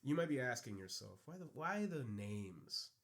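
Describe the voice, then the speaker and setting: Young male podcast co-host, rambling crude jokester, mid pitch, podcast mic. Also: very animated, normal speed. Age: young adult. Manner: rambling, joking, laughs often. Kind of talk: casual crude podcast banter. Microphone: podcast microphone.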